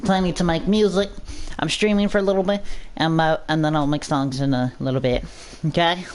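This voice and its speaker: A channel host narrating a scripted story aloud in a measured reading style. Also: medium pitch, steady tone, a little hiss or hum.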